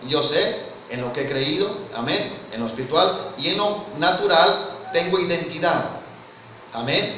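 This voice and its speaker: Mexican-accented male preacher, brightish and fairly high, earnest sermon delivery, heavy hall echo, mic from afar. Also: expressive, moderate pace, recorded from a distance. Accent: Mexican Spanish. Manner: earnest, keeps addressing listeners as brother, asks rhetorical questions. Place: church hall. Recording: very echoey hall, mic from afar, faint noise.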